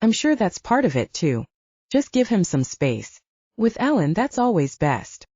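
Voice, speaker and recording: A woman voicing a calm, reasoning male werewolf in an audiobook, measured and placating. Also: mid-range voice, slightly rough, no echo, highs cut off.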